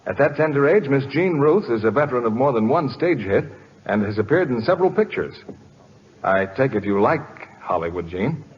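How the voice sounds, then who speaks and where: A man's voice: mid-range voice, sing-song, moderate pace. An American man hosting and announcing in scripted 1940s radio style. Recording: dull old radio broadcast, faint music.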